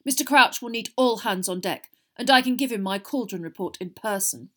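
A woman's voice: on the high side, big pitch swings, brisk, fairly bright tone, pitched above the performer's own voice. A woman voicing a pompous, self-important young man in a fantasy audiobook, officious and indignant.

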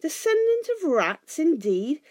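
Small polite hobbit burglar, acted by a woman: high, fussy, timid yet indignant. Storybook fantasy. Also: expressive, moderate pace, mellow, dry recording, natural voice. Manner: squeaky, fussy, politely protesting.

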